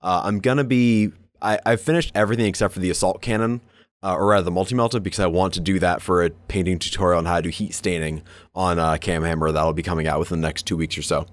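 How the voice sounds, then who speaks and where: A man's voice: deep voice, fairly even intonation, fast, no echo. A man co-hosting a podcast in casual hobby chat, wry and informal, with some swearing. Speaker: podcast co-host.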